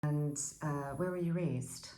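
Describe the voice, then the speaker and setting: Low-voiced woman asking interview questions. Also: slow pace, dark tone. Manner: hesitant, searching questions with restarts. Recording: roomy echo.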